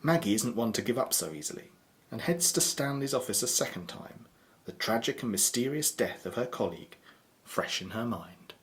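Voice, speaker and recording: A British man narrates a scripted drama as a storyteller, theatrical and ominous. His mid-pitched voice rises and falls with a lot of variety. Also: lively intonation, moderate pace.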